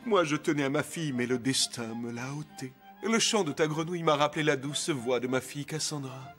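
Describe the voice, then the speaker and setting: An actor playing a doting, excitable old king and father in a cartoon, bumbling and expressive. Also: on the high side, fairly quick, music in the background, lightly acted. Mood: sorrowful.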